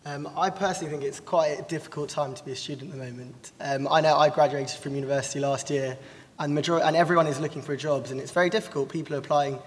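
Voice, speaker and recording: Quick-talking 23-year-old man. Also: fairly high pitch, steady tone. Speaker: law student panelist. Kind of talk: live panel discussion. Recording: hall reverb with faint noise.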